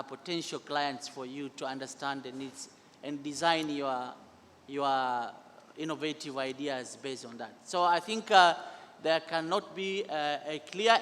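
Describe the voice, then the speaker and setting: A man's voice: fairly high pitch, a bit bright, slight background noise. Man in a reverberant hall. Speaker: panelist. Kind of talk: panel answer to audience question. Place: large conference hall, through PA. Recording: echoey conference hall with long reverb.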